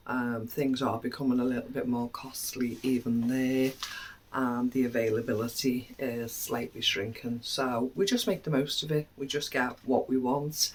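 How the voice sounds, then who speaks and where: A British woman vlogging in a casual, chatty way to camera, a little snuffly from a cold, pausing often with "um" and letting her voice drop quietly at times. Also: deep voice, a little hiss or hum. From United Kingdom. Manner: chatty, many "um" pauses, trailing off quietly.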